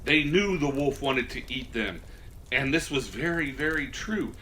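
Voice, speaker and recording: Slow, animated male storyteller. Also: mid-range voice, slight background noise, low-quality recording. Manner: folksy, theatrical. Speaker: storyteller presenting as Santa. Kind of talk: animated children's story reading.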